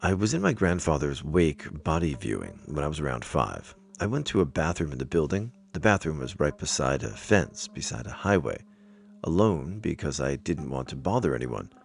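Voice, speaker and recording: A man's voice: extremely low pitch, very animated, moderate pace, gravelly. A man narrating first-person scary stories from a script as a storyteller. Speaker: narrator reading online stories aloud.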